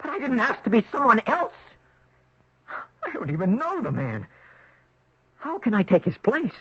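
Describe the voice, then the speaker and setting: An actor in a 1970s radio drama playing an earnest, anguished young man trapped in another man's identity, often halting and pleading. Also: extremely high pitch, huge pitch swings, slightly rough, heavily acted. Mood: distressed, bewildered. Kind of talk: 1970s radio drama acting with first-person narration. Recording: dull, noisy old radio broadcast recording.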